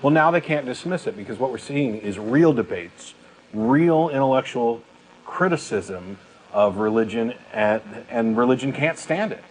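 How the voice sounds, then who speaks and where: Man, late 30s, talk show co-host, emphatic, blunt, casual debate style, medium pitch, noise behind the voice. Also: lively intonation, moderate pace, low-quality recording. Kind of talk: casual opinionated discussion.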